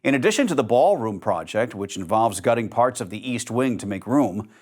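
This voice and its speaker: A man reading scripted news as the anchor, in a measured, even newsreader delivery. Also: medium pitch.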